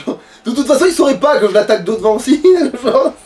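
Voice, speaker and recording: A man's voice: extremely high pitch, lively intonation, fairly quick, a little mellow. A man commenting casually on his own gameplay in slangy, joking, crude language. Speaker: gamer, Let's Play player. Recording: distant mic with music. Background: game music.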